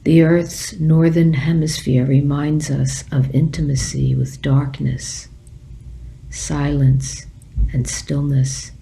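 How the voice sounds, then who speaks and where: A woman leading a guided meditation as a teacher, speaking gently and leaving long pauses. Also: low-pitched, level tone, creaky, rough recording.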